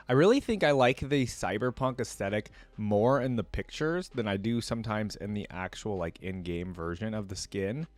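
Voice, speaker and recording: A man's voice: medium pitch, darkish tone, dry recording. A man giving casual reaction commentary, thinking aloud in informal, slangy language. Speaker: reaction video creator.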